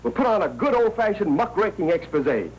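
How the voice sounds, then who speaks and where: An actor playing a brisk, commanding American crusading newspaperman in a 1940s crime film. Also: high voice, sing-song, a little mellow. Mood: determined. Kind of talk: 1940s Hollywood film acting. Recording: dull, noisy old film soundtrack.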